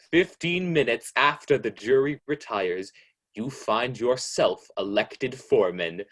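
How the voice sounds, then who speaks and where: A man playing a sardonic, all-knowing radio mystery narrator, slow, knowing and taunting, in old-time radio style. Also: mid-range voice, expressive, a bit bright. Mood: sly, ominous.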